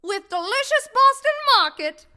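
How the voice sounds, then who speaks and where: A woman's voice: extremely high pitch, very animated, moderate pace, bright, forceful tone, heavily acted. A woman voicing a prim, tactless young wife of a reporter in a comedic radio play, speaking in a breezy, prim manner. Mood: prim chatter.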